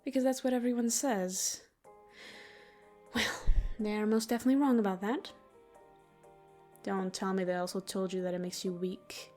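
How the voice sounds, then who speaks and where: A woman plays a female sleep paralysis demon in an intimate audio roleplay. Her mid-pitched voice is soft and breathy, slipping toward whispers, teasing yet tender. Music plays clearly behind her. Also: fairly even intonation, normal speed, long pauses, close to an everyday voice. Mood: gentle, soothing. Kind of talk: intimate roleplay ASMR voice acting.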